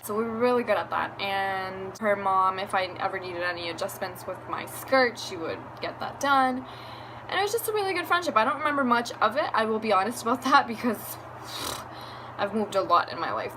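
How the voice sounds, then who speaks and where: A young woman from Venezuela telling a candid, rambling story time for her vlog, laughing now and then. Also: mid-range voice. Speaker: beauty vlogger. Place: room at home with a fan running. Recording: fan hum in background.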